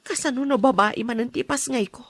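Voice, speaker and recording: A woman with an Ilocano accent playing a gentle, devoted young wife and mother in a radio drama. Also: fairly high pitch, brisk. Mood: anxious, hopeful.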